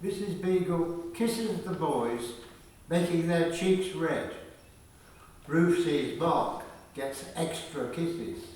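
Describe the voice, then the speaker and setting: A man narrating a scripted radio play in a measured, deliberate storytelling manner. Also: fairly high pitch, darkish tone. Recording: very echoey, distant from the mic.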